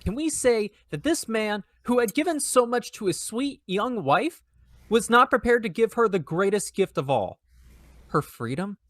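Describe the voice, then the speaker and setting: A man's voice: sits high, animated, moderate pace, a bit bright, no echo, studio quality. A man playing an earnest defending counsel, formal and persuasive, in an old-time radio drama. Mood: pleading. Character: earnest, pleading defending counsel addressing court and jury.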